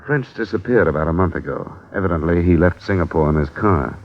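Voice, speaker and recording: An actor playing a hard-boiled insurance investigator who narrates and questions in a terse, matter-of-fact way, in a 1950s radio drama. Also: male voice, deep voice, brisk. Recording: dull old radio broadcast.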